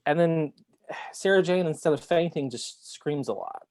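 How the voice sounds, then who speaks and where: A man giving his views in a casual book discussion over a video call, in a tentative, halting way. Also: on the high side, a little mellow. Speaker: podcast panelist.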